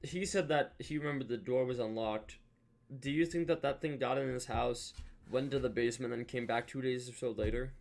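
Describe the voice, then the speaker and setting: A man's voice: mid-range voice, fairly even intonation, brisk, creaky, a little mellow, a little hiss or hum. A man hosting a reaction video with casual, rambling, emphatic commentary.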